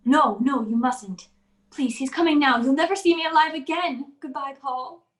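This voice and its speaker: High-voiced frightened wife, acted by a woman. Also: normal speed, reverberant, over music, natural voice. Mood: desperate pleading. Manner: breathless, pleading, faltering. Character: frightened, pleading wife in fear for her life. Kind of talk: old-time radio suspense acting.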